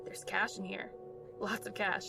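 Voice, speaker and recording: An actress playing a blunt, fussy young engineering student in an audio drama, speaking in an inquisitive, exasperated way. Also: female voice, medium pitch, little pitch movement, a little slow, vocal fry, music in the background. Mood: insistent. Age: young adult.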